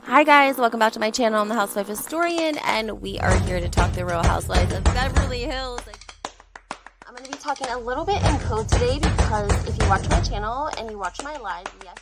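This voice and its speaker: A woman's voice: on the high side, animated, a little fast. A woman hosting her channel, giving an upbeat, welcoming recorded intro. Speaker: channel host. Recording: voice under loud music.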